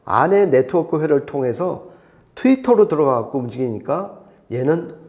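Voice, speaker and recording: Higher-pitched, soft-toned man explaining audio gear. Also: very animated, normal speed. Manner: didactic, explains step by step with repetition. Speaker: hi-fi expert presenter explaining audio setups. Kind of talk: conversational explainer.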